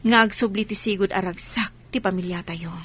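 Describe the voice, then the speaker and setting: A woman playing a heartbroken, gentle young mother in an Ilocano audio drama, speaking in a sorrowful, pleading way. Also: mid-range voice, normal speed, breathy edge. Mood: tearful, consoling. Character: heartbroken, gentle young mother leaving her marital home.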